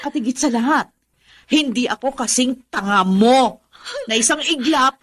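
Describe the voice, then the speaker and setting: An actress playing a brazen, taunting young mistress, mocking and haughty, in a Tagalog radio drama. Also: female voice, fairly high pitch, measured pace, fairly bright tone. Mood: mocking, smug.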